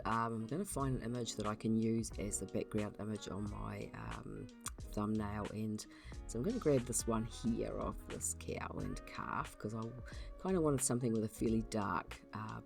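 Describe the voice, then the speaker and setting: Croaky-voiced woman giving a chatty tutorial. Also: extremely low pitch, expressive, normal speed, darkish tone, soft background music. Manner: chatty, many ums and restarts. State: croaky voice from being unwell. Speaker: YouTube channel host. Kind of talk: casual screen-recorded software tutorial.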